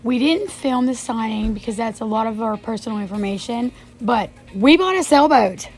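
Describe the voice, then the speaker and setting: A woman, chatting excitedly to camera in a casual vlog while losing her voice. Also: on the high side, moderate pace, soft background music, poor audio. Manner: chatty and excited, addresses viewers directly. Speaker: vlogger.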